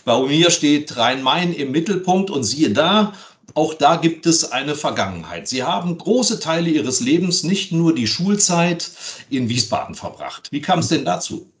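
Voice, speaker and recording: A man's voice: on the high side, big pitch swings, unhurried. Male podcast host, distant from the microphone. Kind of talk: conversational interview.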